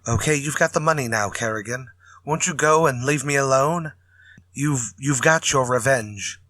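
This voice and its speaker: Male crime novelist for radio play, acted by a man: bright, tense tone, nervy and emphatic, dry close sound. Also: mid-range voice, moderate pace, dry recording, poor audio. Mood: anxious pleading. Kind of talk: retro radio drama acting.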